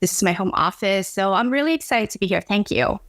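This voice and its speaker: Indonesian-born woman, earnest and expressive. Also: medium pitch, brisk, dry recording, clean studio sound. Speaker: psychologist, guest. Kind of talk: conversational interview. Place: home office.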